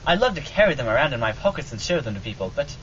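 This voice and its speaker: A man's voice: mid-range voice, very animated, very fast, fairly bright tone. Man as an earnest airship officer, dull-sounding recording. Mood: earnest, sheepish. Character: earnest, reassuring, hapless airship captain and crew man. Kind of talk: amateur old-time radio comedy acting. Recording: dull, noisy amateur radio recording.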